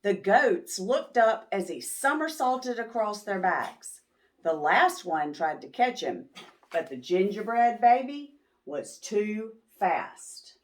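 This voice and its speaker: Woman, animated swooping pitch, slow pace, children's storytime host, expressive, questioning. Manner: expressive, pausing to ask young listeners questions. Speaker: children's read-aloud host. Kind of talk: animated picture-book storytelling for young children.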